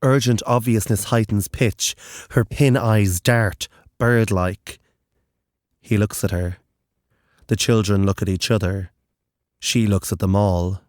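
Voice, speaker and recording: A man reading scripted horror narration in a grave, measured, literary manner. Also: on the low side, steady tone, a little mellow, dry recording, clean studio sound.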